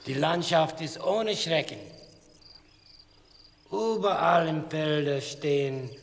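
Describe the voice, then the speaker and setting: An actor playing a quiet traveller who observes the landscape in a poetic radio-play manner. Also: male voice, on the high side, takes it slowly, slight background noise. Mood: calm, wistful. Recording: long reverb with faint music behind.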